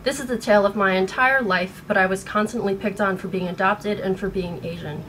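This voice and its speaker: An American woman in her thirties speaking in an earnest, halting way, upset and shaken, as in a personal vlog. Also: mid-range voice. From United States. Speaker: vlogger. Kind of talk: personal video blog, partly reading aloud. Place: small bedroom at home. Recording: noticeable background room noise.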